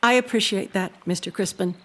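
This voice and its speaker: An actress playing an earnest, proud housekeeper who tells a haunting tale in a deliberate, expressive way. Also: female voice, mid-range voice, a little hiss or hum, lightly acted. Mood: wry, disapproving. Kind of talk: dramatic audio-drama storytelling.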